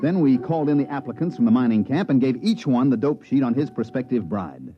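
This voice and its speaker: A brazen, fast-talking swindler played by a man in old radio style, with very lively intonation and slangy, glib delivery. The recording is dull and vintage. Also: mid-range voice, soft background music, lightly acted. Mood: wry narrating. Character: fast-talking, brazen male swindler narrating his own con. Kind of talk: 1950s radio acting.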